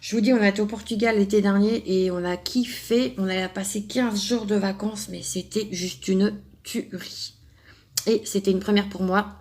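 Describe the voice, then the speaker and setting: Young woman from France, craft vlogger, casual and rambling, mid-pitched voice. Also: steady tone, moderate pace, darkish tone. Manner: chatty, rambling, many fillers and restarts. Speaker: craft YouTuber answering a subscriber tag. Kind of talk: casual chat to camera.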